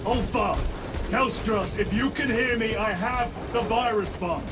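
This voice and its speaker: An actor playing a grim, battle-weary space marine squad leader in a video game, solemn and commanding, vowing vengeance. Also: male voice, sits high, background noise. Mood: urgent, commanding. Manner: solemn, commanding, deliberate delivery. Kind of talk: dramatic video game voice acting. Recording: loud music and machinery behind voice. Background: loud score, machinery.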